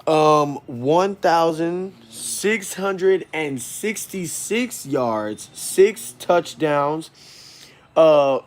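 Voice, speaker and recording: A man hosting an informal sports game preview, speaking haltingly and often correcting himself. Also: a little high. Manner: halting, stumbling delivery with self-corrections.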